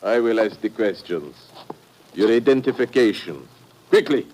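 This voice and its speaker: Earnest Czech conspirator, lowish voice, formal careful phrasing, old radio drama style, grave and resolute. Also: male voice, animated, unhurried, darkish tone, slight background noise. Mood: commanding. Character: earnest Czech man, grim brother of a dead prisoner. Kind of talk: old-time radio thriller acting.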